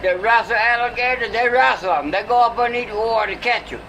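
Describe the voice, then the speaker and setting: A man's voice: extremely high pitch, fast talker, background noise. A man talking casually in a clip. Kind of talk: casual talk in a played clip.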